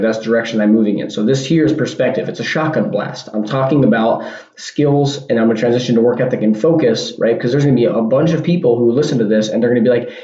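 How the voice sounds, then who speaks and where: A man talks through a casual solo podcast, circling back and restarting, then punching points home with quick exclamations. His voice is mellow and middle-pitched. The recording is dull and lo-fi, with a roomy echo. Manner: rambling, restarts, punchy "boom" and "bam" asides. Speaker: podcast host. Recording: dull lo-fi sound in an echoey room.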